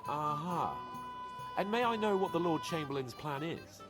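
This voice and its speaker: Man acting a haughty young knight, animated and sardonic. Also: a little high, normal speed, over music, lightly acted. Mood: doubtful, polite. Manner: sardonic, haughty, mock-polite. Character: proud, skeptical young nobleman knight. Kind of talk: video game cutscene acting.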